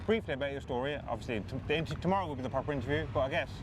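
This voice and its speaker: Fast-talking interviewer in street noise. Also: male voice, medium pitch. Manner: rambling questions with restarts. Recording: loud street and traffic noise.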